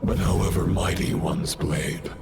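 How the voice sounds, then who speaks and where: An actor playing a grave fallen knight turned dark tempter in a video game, solemn and grandiose in his oratory. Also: deep voice, steady tone, measured pace, gravelly, heavy background noise. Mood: grave, bitter. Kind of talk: dramatic video game voice acting.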